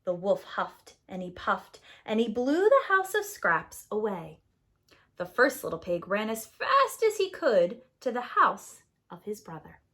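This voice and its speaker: A woman's voice: mid-range voice, huge pitch swings, measured pace, fairly bright tone. A woman narrating a scripted children's story as a preschool teacher would.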